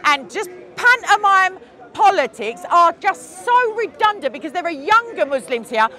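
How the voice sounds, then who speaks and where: A woman's voice: very high voice, very animated, moderate pace, pushed and bright, a faint crowd. A woman in her thirties in a heated street debate in a city park, combative and sarcastic, talking over interruptions into a lapel mic.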